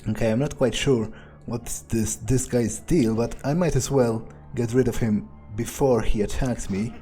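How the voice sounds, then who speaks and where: A man's voice: medium pitch, steady tone, unhurried, music in the background. A man roleplaying his way through a video game, thinking aloud in character with a musing, sardonic, sometimes profane manner.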